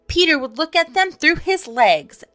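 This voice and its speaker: A woman voicing eager, simple young boys in a storybook, with a breathless, excitable manner. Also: sits high, normal speed, voice pushed up.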